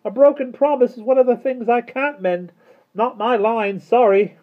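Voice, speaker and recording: Man voicing an eccentric, high-pitched gentleman. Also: steady tone, moderate pace, phone-quality sound. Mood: brisk refusal. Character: eccentric, brisk, apologetic repairman gentleman. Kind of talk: children's storybook character voice.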